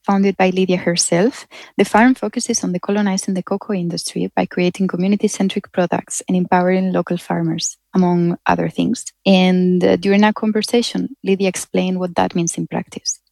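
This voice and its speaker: A woman narrates a documentary feature from a script, keeping her delivery measured and even. Her voice has a gravelly edge. The recording is close and dry, with no room sound. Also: on the low side, dry recording.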